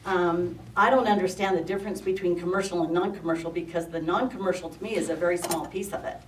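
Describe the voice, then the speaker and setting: An older woman with a loud voice giving an emphatic, frustrated public comment at a county meeting. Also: fairly low pitch. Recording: echoey room, distant mic, faint noise.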